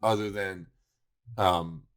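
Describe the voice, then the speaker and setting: A man's voice: low-pitched, fairly even intonation, takes it slowly, fairly bright tone. A man co-hosting a video show in casual, opinionated chat, emphatic, repeating himself for effect and swearing freely.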